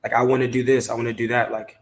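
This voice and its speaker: A man's voice: medium pitch, little pitch movement, fast. A man from Maryland speaking casually as an interview guest, his talk peppered with "you know". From Maryland, DC area, United States. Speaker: interview guest, talent manager.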